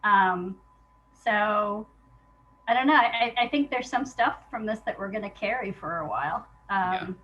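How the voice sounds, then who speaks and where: A woman's voice: medium pitch, unhurried, fairly bright tone, muffled recording. A woman speaking as an interview guest in a casual, reflective way, candid and hesitant, often restarting her thoughts. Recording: noticeable background noise.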